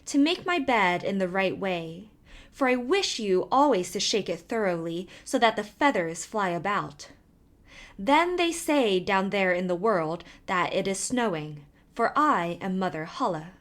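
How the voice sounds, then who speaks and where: A woman voicing a kindly old woman from a fairy tale, speaking in a measured, instructing manner. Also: mid-range voice, animated, close to an everyday voice.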